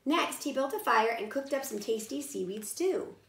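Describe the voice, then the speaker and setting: A woman narrates a children's storybook in an animated way. Her voice sits fairly high and sounds bright and forceful. The room adds a clear echo. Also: moderate pace. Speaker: storybook reader. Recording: roomy echo.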